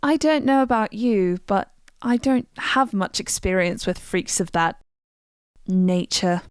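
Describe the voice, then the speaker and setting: Slow, clear-voiced actress as a woman adventurer. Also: mid-range voice, dry recording, studio quality, lightly acted. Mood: wry, recounting. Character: composed, wry woman adventurer companion from fantasy game. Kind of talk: scripted game dialogue.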